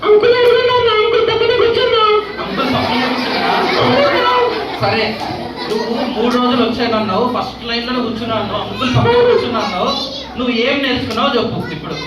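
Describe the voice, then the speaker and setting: A woman's voice: on the high side, big pitch swings, a little fast, pauses often. A woman hosting a church children's puppet show, playfully questioning and coaxing the kids. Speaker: vacation bible school leader. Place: large church hall. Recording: distant echoey hall with crowd noise. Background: noisy children.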